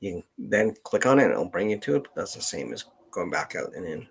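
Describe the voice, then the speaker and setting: A man hosting a casual, unscripted live stream, speaking in a halting, hesitant way. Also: sits low, very animated, vocal fry, a little hiss or hum. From Canada. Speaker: genealogist live stream host.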